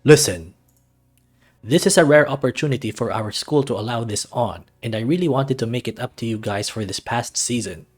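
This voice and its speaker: A man voicing a small, gruff but big-hearted soccer coach in a visual novel, with a loud, emphatic, barking delivery. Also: mid-range voice, moderate pace, soft background music, lightly acted. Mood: rousing, proud.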